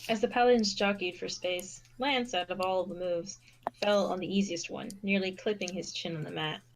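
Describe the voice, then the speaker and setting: Female narrator on the lower side, reading prose fiction aloud with the odd stumble. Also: fairly even intonation, normal speed, a little hiss or hum. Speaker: fan fiction reader and narrator. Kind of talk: scripted narration of a story read aloud.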